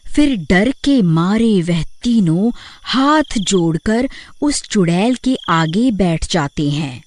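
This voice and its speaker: A woman's voice: medium pitch, animated, slow pace, darkish tone, slight background noise. A woman reading scripted narration for a cartoon horror story.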